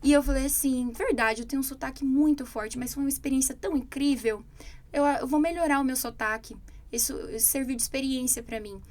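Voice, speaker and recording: A woman's voice: sits high, a little fast. A Brazilian woman, an English teacher co-hosting a podcast, telling a personal story in casual chat and acting out what people said. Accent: Brazilian Portuguese. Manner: animated storytelling, quoting others.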